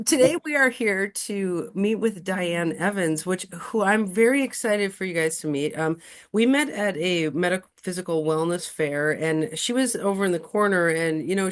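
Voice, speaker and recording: A woman host opens the interview with a bubbly, excited introduction. Her voice sits a little low for a woman.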